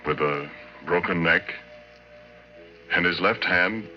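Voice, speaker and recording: An actor playing a folksy, shaken oil-rig worker telling a horror story in a rambling, ungrammatical way, as in 1940s radio drama. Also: male voice, low voice, takes it slowly. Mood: haunted, grim. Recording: dull vintage radio recording, music behind.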